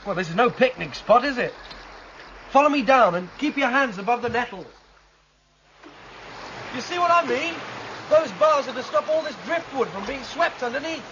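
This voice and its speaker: An actor playing a bold, curious citizen in a 1970s dystopian radio drama, with a British accent and an eager manner. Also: male voice, extremely high pitch, expressive, normal speed, long pauses, sharp tone, full character voice. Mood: eager, coaxing. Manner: eager, coaxing, adventurous. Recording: dull, noisy old radio recording.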